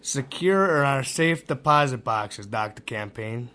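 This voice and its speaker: A man's voice: medium pitch, expressive, a little slow, pushed and bright. An actor in a radio mystery playing a cautious, deferential criminal henchman. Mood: reporting. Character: cautious male henchman, deferential and nervous about risk. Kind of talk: radio mystery drama acting.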